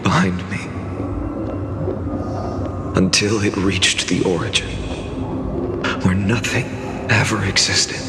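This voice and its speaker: Slow, gravelly young hero. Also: male voice, lowish voice. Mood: reflective. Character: solemn young male hero, weary and resolute. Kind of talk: dramatic video game voice acting. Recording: loud music under the voice. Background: loud music.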